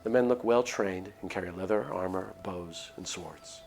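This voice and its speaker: A man streaming a visual novel, reading it aloud as measured, expressive story narration. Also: sits low. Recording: clear music behind voice. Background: background music.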